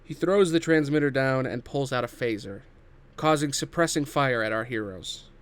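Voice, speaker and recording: A man's voice: medium pitch, moderate pace, clear, resonant tone. A man narrating a screenplay's action and scene headings in a scripted table read.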